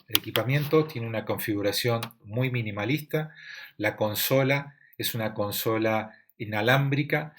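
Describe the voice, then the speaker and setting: A man with an Argentine accent giving a technical medical presentation over slides, explaining in a steady way with frequent fillers. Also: mid-range voice. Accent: Argentine Spanish. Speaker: lecturer at a medical imaging congress.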